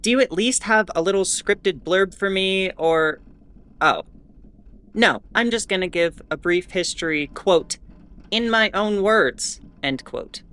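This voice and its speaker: A woman playing a weary, sardonic starship broadcaster in an audio drama, giving history in a deliberate, grudging, pointed way. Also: mid-range voice, expressive, fairly bright tone. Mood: exasperated, grudging. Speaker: ship's bulletin broadcaster. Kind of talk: in-character educational monologue, audio fiction.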